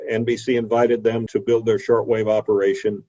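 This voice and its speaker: Low, mellow male lecturer on a dull call. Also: little pitch movement, normal speed. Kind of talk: illustrated history lecture. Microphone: video call. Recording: dull, lo-fi video call audio.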